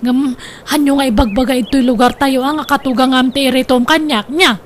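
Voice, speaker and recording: A woman playing an excitable, man-hungry older sister in a comedy radio drama, exclaiming theatrically. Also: sits high, brisk, natural voice. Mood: eager, flustered. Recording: faint background noise.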